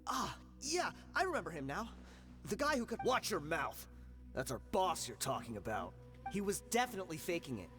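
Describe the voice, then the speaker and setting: An actor playing a boastful but cowardly mercenary grunt in a game, sneering and then stammering when threatened. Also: male voice, high-pitched, very animated, normal speed, a bit bright. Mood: bitter. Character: cowardly boastful mercenary thug, adult man. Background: game music.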